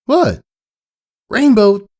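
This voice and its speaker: Storybook role: excitable young pony schoolgirl, acted by a man, very high voice, impatient, exclamatory delivery. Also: drawn-out words, heavily acted. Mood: excited, urgent. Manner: exclamatory, blurting. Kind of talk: animated storybook character voice.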